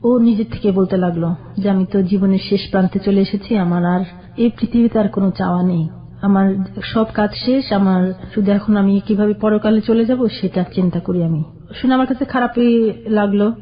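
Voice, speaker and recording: Older woman guest, halting storyteller, muffled radio sound. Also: medium pitch. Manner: plain, halting recollection. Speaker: caller guest. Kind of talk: personal storytelling.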